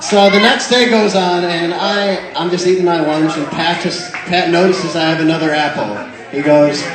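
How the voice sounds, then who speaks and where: A young man telling a comic story to a live crowd through a microphone, animated and laughing often as he goes. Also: on the high side. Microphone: microphone into a speaker system. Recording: very echoey room with crowd noise.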